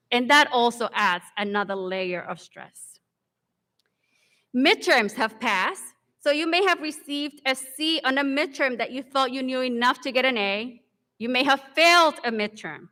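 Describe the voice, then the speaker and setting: A woman in her forties, from the Dominican Republic, giving an earnest convocation speech with measured, deliberate pauses. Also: a little high, bright, forceful tone. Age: 40s. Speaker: alumni speaker. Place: large hall. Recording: long hall reverb.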